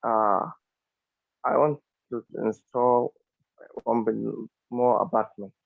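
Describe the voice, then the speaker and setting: Male attendee, non-native English, searching for words, gravelly voice over a thin phone-quality line. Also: medium pitch, takes it slowly, dry recording. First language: not English. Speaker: training attendee asking a question. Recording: narrow phone-like call line.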